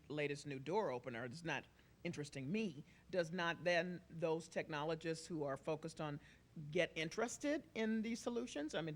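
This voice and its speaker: A woman moderating a public forum panel, asking probing questions in a conversational way. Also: sits low.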